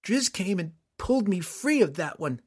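A man voicing a young dwarf girl, earnest and defiant, speaking in a high, pleading voice. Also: big pitch swings, normal speed, darkish tone, slightly rough, exaggerated voice. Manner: high-pitched, pleading and indignant.

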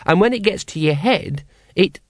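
A man's voice: high voice, lively intonation, moderate pace, dry recording, clean studio sound, pitched above the performer's own voice. A man voicing a small, naive child who chats cheerfully and matter-of-factly about gruesome things in a storybook. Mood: happily morbid.

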